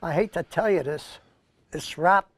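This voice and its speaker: Senior male co-host, Brooklyn accent, higher-pitched voice, anecdotal and chatty, dry recording. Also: a little slow, fairly bright tone, no echo. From Brooklyn, New York, USA. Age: older, senior. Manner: rambling, anecdotal storytelling. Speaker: co-host of a senior news show. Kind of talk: casual reminiscing chat.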